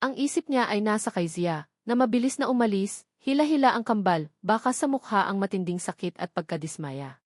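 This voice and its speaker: A woman's voice: mid-range voice, animated, brisk, dry recording, studio quality. A woman with a Filipino accent narrating a scripted story in a dramatic storytelling style. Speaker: audiobook narrator.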